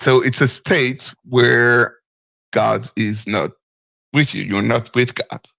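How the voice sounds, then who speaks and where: Guy calling in, raspy fry in his voice, lots of ums, stops to find the right english word. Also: mid-range voice, slow pace, bright, forceful tone, no room sound, muffled recording. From Martinique. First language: French. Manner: hesitant, searching for words, chuckles often. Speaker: caller. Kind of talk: call-in discussion.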